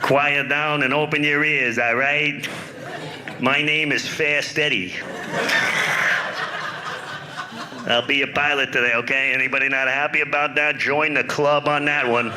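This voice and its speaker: A man's voice: fairly high pitch, lightly acted. A man voicing a grumpy middle-aged airline pilot with a New York accent, barking sarcastic announcements at passengers. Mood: bossy. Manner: gruff, bossy, sarcastic, threatening. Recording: noisy live stage recording.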